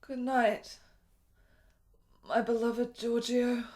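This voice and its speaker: An actress playing a gravely ill, melancholy and intense woman in a period radio drama, speaking in deliberate, literary phrases. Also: on the high side, level tone, a little mellow. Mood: tender.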